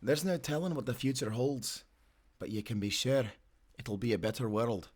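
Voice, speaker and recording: An actor playing a stern but merciful sailor in an audio drama, with firm, old-fashioned seafaring speech. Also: male voice, medium pitch, expressive, moderate pace, a little mellow, close to an everyday voice. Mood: calm, resolute. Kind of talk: dramatic audio roleplay acting.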